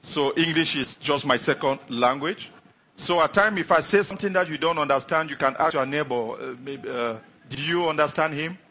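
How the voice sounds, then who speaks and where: A middle-aged man from Africa whose first language is French, preaching a sermon as a visiting pastor, talking quickly with many fillers and restarts. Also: fairly high pitch, pushed and bright, slight background noise. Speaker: guest pastor.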